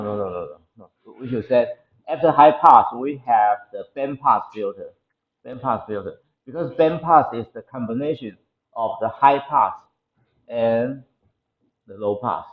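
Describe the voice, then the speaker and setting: A man with a Taiwanese accent lecturing to a class, explaining technical material haltingly and often repeating his phrases. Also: mid-range voice, narrow, tinny audio. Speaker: university lecturer. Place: indoor classroom.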